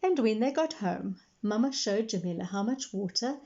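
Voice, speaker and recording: A woman reading a children's story aloud as a storytime reader, pausing to explain words. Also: mid-range voice, lively intonation, warm tone. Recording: slightly dull lo-fi sound.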